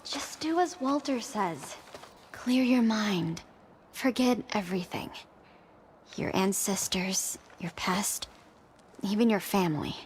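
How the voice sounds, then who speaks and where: A woman's voice: mid-range voice, lively intonation, takes it slowly, slight background noise. A woman playing a devout cult follower in a video game, instructing with serene, entranced intensity. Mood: serene, insistent. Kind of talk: video game cutscene acting.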